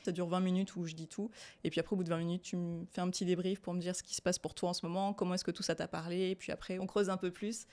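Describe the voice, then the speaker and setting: A young adult woman chatting casually as an interview guest, relaxed and talkative, often trailing off with fillers and laughing easily. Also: lowish voice, fast. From Italian descent, raised in France. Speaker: guest, tarot reader and illustrator.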